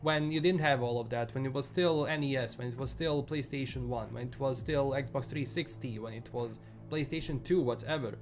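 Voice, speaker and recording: A man's voice: medium pitch, moderate pace, few pauses, soft background music. A man with a Bosnian accent chatting casually on a live stream, rambling and restarting his sentences often. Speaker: livestream host.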